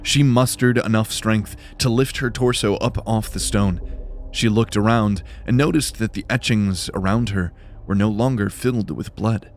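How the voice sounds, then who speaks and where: A man's voice: lowish voice, slight background noise. A man narrating fantasy fiction in a scripted, dramatic storytelling style. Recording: music behind the voice. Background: music.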